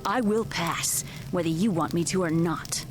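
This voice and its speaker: A woman's voice: lowish voice, moderate pace, close to an everyday voice. An actress playing an angry young apprentice turned to evil in a video game, defiant and commanding, with music behind her. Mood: cold defiance. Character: angry young female Jedi apprentice turned to the dark side. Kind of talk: video game cutscene acting.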